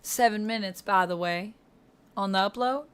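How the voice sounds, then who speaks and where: A woman's voice: mid-range voice, moderate pace, fairly bright tone, clear, resonant tone. A woman hosts a casual livestream in a Georgia-flavored Southern accent that she puts on. She chats away with her viewers, addressing them by name as she answers. Her voice sits in an ordinary middle range. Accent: Southern US, Georgia, put on. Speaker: livestream host.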